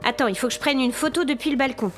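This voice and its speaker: A woman's voice: high voice, very fast, soft background music, lightly acted. A woman playing a frightened female tourist trapped in a haunted hotel, talking to herself in agitation in a French horror audio drama. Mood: uneasy. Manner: breathless, agitated self-talk, from hushed muttering to exasperated calling.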